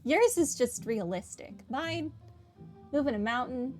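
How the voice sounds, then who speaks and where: A woman's voice: sits high, animated, a little slow. A woman giving live game commentary as a streamer, chatting casually with a playful sarcastic streak. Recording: faint game music behind the voice.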